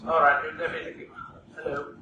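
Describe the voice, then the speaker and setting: A man's voice: fairly high pitch, level tone, fairly quick, muffled recording. A man presiding as judge, giving brief, decisive rulings. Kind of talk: formal courtroom procedure. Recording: echoey courtroom from far off, loud noise.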